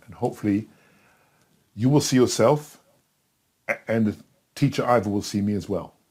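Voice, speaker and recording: A middle-aged man giving a casual step-by-step tutorial in a chatty, simple way, with a husky voice. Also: fairly low pitch, normal speed, mellow. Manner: simple, chatty, talking himself through each step. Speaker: tutor in a tutorial video, also acting as the student.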